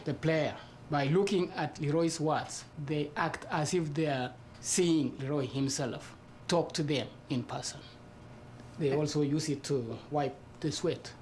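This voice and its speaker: A man's voice: a little high, a little slow, darkish tone, slight background noise, close to an everyday voice. A man playing a devoted, literal-minded sidekick, speaking earnestly in a mock documentary interview. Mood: reverent. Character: devoted, earnest male sidekick who sews wristbands. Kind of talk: mockumentary interview.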